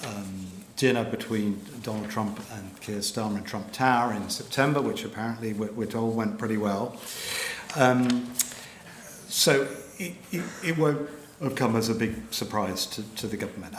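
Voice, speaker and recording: A middle-aged man with a British accent, a journalist giving a measured panel talk with frequent ums and restarts. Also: on the low side, slight background noise. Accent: British English. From Britain. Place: lecture hall. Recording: echoey hall with faint ambience.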